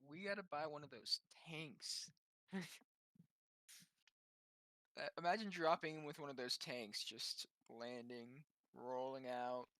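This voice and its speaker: A man's voice: medium pitch, steady tone, takes it slowly, long pauses, bright, forceful tone, no echo. A man chatting casually as a player in a tabletop roleplaying game. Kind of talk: casual chat.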